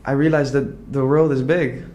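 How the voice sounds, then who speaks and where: A man's voice: mid-range voice, fairly even intonation, moderate pace, a little mellow. A young man from the Netherlands talking casually and earnestly in an interview, full of "like" fillers and restarts. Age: young adult. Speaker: entrepreneur guest.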